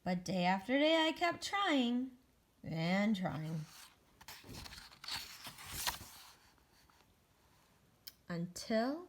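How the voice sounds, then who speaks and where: A woman's voice: on the high side, lively intonation, takes it slowly. A woman voicing an anxious, wistful egg who narrates its own story earnestly in a children's picture book read-aloud. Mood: determined. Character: anxious, wistful egg recovering from a great fall.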